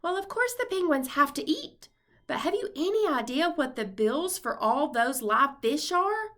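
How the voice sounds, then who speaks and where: A high, bright-voiced, practical wife and mother from a children's storybook, as a woman reader acts her out. She is fretful and earnest, speaking expressively. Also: big pitch swings, measured pace, full character voice. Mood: worried.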